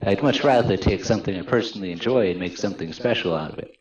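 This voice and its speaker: A man's voice: deep voice, quick pace, muffled recording, a crowd in the background, natural voice. A man voicing a confident, encouraging schoolgirl club leader in a visual novel game, reading earnestly from the script.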